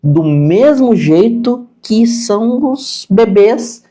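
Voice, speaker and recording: Animated middle-aged Brazilian man teaching. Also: high voice, very slow. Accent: Brazilian Portuguese. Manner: didactic, repeats key points for emphasis; conversational, acts out questions to himself. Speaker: sign language teacher. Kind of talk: informal live-stream lesson answering viewer questions.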